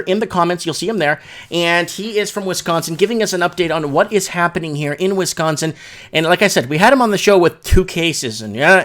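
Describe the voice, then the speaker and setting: Informal man hosting a live show, higher-pitched voice. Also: a bit bright. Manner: informal, slangy, rambling. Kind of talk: casual livestream chat, reading viewer comments and news aloud.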